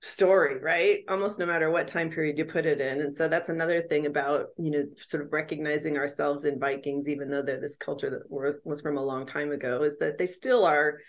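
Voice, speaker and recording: A middle-aged woman with a fairly low voice talks things through in a relaxed way over a video call. She sounds thoughtful and reflective, and she pads her thoughts with "you know" and "I mean." She is speaking from a room at home. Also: fairly quick, few pauses. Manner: thoughtful, reflective, many fillers. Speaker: history professor. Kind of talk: casual chat. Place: home room with a door and shelves.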